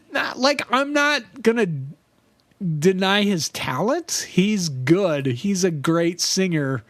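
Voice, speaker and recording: High-voiced man, chatty and animated. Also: no room sound. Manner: animated, laughs often, trails off and restarts. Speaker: podcast co-host. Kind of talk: casual chat.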